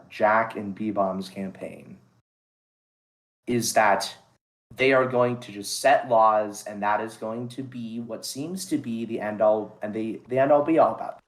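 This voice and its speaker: A man makes his case in a casual roleplay debate, his voice at a plain middle pitch. He presses each point earnestly and insistently, pushing back on the other side. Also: rough recording. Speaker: debate candidate.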